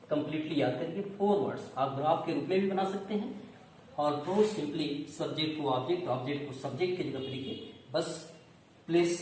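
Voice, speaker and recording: High-pitched man teaching, very echoey room. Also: a little mellow. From India. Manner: instructive, asks questions and answers them himself. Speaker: English grammar coaching teacher. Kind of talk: classroom lesson, explaining step by step. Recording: echoey room, distant mic, faint noise.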